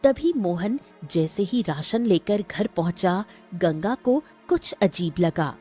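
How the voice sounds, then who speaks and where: A woman's voice: on the low side, lively intonation, slow, warm tone, slightly rough, faint music behind. A woman narrating a scripted cartoon story.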